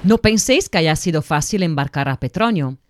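A woman's voice: deep voice, animated, brisk, slight background noise. A woman reading scripted story narration, addressing the listeners directly like a storyteller.

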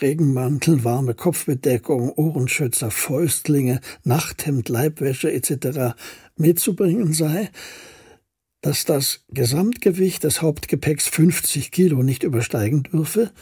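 An actor playing a reflective scholar retracing his past, recounting it with precise, unhurried melancholy in a literary radio drama. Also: fairly high pitch, dark tone, slightly rough, dry recording, clean studio sound.

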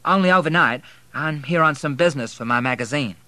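A man's voice: fairly high pitch, fairly quick, sharp tone, no echo, pitched above the performer's own voice. A man voicing a poised, teasing Southern woman who is a wealthy divorcee. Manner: arch, teasing.

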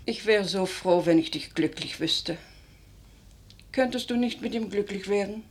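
An actress playing an anxious, loving middle-aged mother in a radio play, soft, pleading and tender. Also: medium pitch, measured pace, husky. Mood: worried, coaxing. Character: anxious, loving, careworn mother of a poor family. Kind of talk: German radio play acting.

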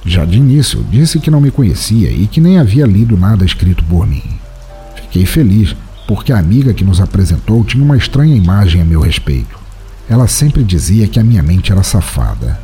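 A man's voice: sits low, big pitch swings, a little fast, warm tone, faint music behind. A Brazilian man playing a world-weary, broke bohemian writer, narrating an audio drama in a reflective, wistful manner. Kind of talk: first-person literary audio drama narration.

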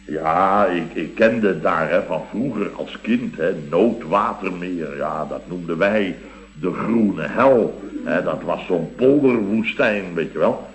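An actor playing a blustering, sentimental middle-aged building contractor in a radio comedy, rambling and exclamatory. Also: male voice, deep voice, takes it slowly, lo-fi sound. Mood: reminiscing. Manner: rambling, exclamatory, with repeated tag words. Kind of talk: Dutch radio comedy acting.

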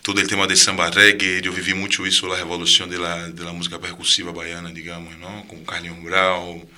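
A Brazilian musician with a Brazilian Portuguese accent speaking Spanish in a radio studio interview, talking softly and trailing off between restarts. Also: male voice, low voice, level tone, fairly quick. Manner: soft-spoken, trailing off, hesitant restarts. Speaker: musician guest.

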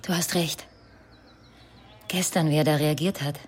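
Dramatic jilted woman, lowish voice. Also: steady tone, measured pace, a little hiss or hum. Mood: dreamy, hopeful. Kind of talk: radio play acting.

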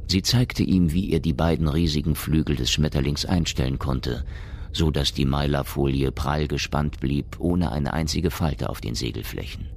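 A man narrating a science fiction radio drama in a grave, gravelly voice. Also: extremely low pitch, moderate pace, a little mellow, exaggerated voice. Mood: measured, grave. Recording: music behind the voice.

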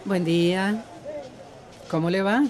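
Practical townswoman played by a woman: medium pitch, matter-of-fact, conversational radio-play delivery. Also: slow, darkish tone, close to an everyday voice. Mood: concerned. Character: frank, practical village woman neighbour. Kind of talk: radio drama acting.

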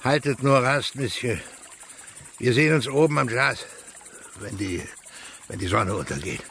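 An actor playing a terse, deliberate rustic male mountain guide with a creaky voice in a German radio play. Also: medium pitch, animated, a bit bright, slight background noise. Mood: instructing.

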